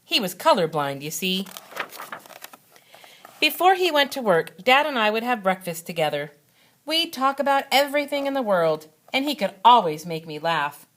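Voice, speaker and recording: A woman reading a children's storybook aloud like a schoolteacher, with expressive, dramatic narration and deliberate phrasing. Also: fairly high pitch. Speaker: second grade teacher reading aloud.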